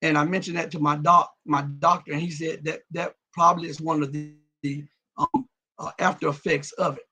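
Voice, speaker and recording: A man's voice: on the high side, fairly even intonation, moderate pace, sharp tone. A man hosting a live-stream show and reading out viewer comments. He talks emphatically, restarts and repeats words, and his voice cracks and breaks up at times. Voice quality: raspy.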